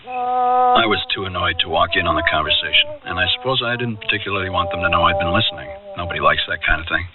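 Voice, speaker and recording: A regretful man in love, speaking in a low, reflective voice with wide melodic swings. He has the measured delivery of radio drama, heard through a thin, tinny old recording. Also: sing-song, recorded from a distance, over loud music. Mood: rueful, wistful. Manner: reflective, measured first-person recollection. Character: Waldron, wistful, regretful advertising man in love. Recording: tinny, band-limited radio recording, noisy.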